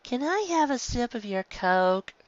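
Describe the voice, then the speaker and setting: A woman's voice: fairly high pitch, very animated, measured pace, dry recording, lo-fi sound, voice pushed up. A woman voicing a carefree, goofy teenage boy pirate, a cartoon hero. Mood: dreamy, cheerful.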